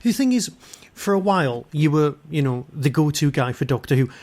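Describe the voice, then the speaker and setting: A man's voice: a little high, lively intonation, fairly quick, a little mellow. A man hosting an interview, asking long, casual, conversational questions. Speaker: podcast host, interviewer.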